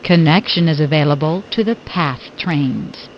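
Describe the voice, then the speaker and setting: A woman's voice: sits low, normal speed, lo-fi sound, a little hiss or hum. A woman reading scripted subway announcements in formal, clipped phrases that sound pieced together.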